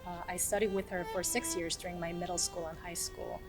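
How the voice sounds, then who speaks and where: A woman's voice: on the low side, little pitch movement, fairly quick. Woman musician from South Korea over music. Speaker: orchestral violinist. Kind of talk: casual scripted-outline self-introduction to camera.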